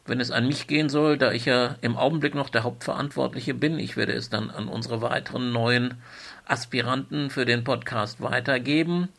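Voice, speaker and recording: A man's voice: medium pitch, steady tone, moderate pace, vocal fry, fairly bright tone. A man hosting a podcast in an informal moderating style. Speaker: podcast host.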